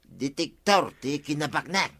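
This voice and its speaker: A man's voice: fairly high pitch, sing-song, unhurried, pushed and bright, heavily acted. A man playing a gullible, eager villager in an Ilocano radio comedy, insistent and speaking with an Ilocano accent. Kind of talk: Philippine radio comedy drama acting.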